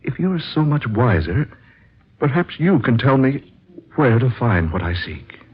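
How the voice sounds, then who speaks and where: An actor playing an earnest, troubled writer searching for truth in a vintage radio drama, with formal, theatrical phrasing. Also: male voice, mid-range voice, sing-song, unhurried, breathy edge. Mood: earnest pleading. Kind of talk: 1940s radio drama acting with narration. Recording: dull, muffled vintage radio recording.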